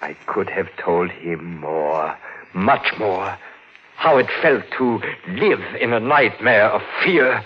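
An actor playing a haunted, fragile gardener with an overwrought, halting delivery in a 1940s radio drama. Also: male voice, on the low side. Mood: anguished, haunted. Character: haunted, fragile gardener widower confessing to madness and killing. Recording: dull old radio broadcast recording.

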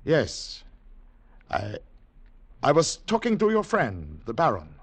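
An actor playing an earnest, wary wartime secret agent in a 1950s radio drama, grave and dramatic. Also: male voice, mid-range voice, huge pitch swings, slow pace, slightly rough, no echo, heavily acted. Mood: wary, probing. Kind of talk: 1950s radio drama acting, first-person narration. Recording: vintage radio recording, faint music behind.

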